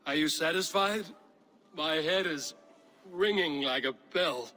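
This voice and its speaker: Casting: seasoned Viking fighter, male, slightly high voice, wry and measured, dramatic game delivery. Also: pushed and bright. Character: Eivor, seasoned Viking warrior, wry and steady. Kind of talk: dramatic video game acting.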